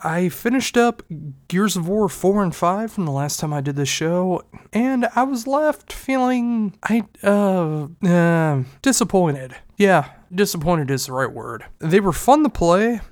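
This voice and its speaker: A man's voice: high-pitched, expressive, measured pace, darkish tone, no echo. Congested, chatty male podcast host. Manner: rambling, conversational, with restarts. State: congested, stuffy nose. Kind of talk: casual solo podcast talk.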